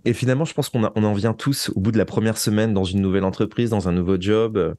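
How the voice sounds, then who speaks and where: A man with a low voice gives conversational interview answers as a guest. He often pauses to search for words and repeats small phrases. The sound is dry, with hardly any room around it. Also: steady tone, a little mellow, no echo. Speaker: guest, audio fiction writer.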